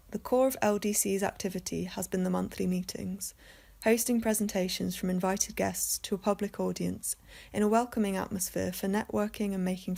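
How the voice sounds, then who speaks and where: A woman reading a scripted introduction for an event in a measured manner. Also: medium pitch, vocal fry, a little mellow. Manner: measured read-out delivery. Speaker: event announcer.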